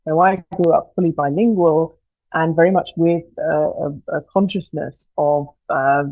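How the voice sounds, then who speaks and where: A woman from Germany, raised bilingual, answering interview questions as a guest scholar in a measured, articulate, explanatory way. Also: low-pitched, dry recording, dull audio.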